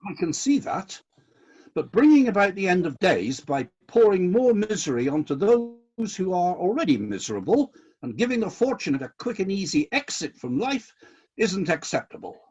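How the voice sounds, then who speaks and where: A man acting in a radio play as God, a disillusioned but decisive ruler of heaven, with indignant questions and deliberate commands. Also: high-pitched, very animated, a little mellow, husky, muffled recording, heavily acted. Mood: commanding, resolute.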